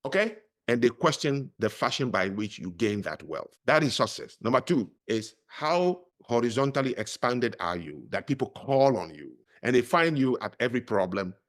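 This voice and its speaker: A man's voice: mid-range voice, normal speed, hardly any pauses. A middle-aged man speaking as a podcast guest, telling stories and making points with emphasis. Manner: emphatic, animated storyteller. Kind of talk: conversational interview.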